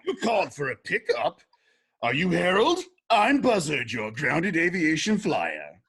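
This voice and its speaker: Man doing a raspy, brash buzzard. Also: a little high, huge pitch swings, unhurried, fairly bright tone, heavily acted. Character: gruff, eager male buzzard offering pickups.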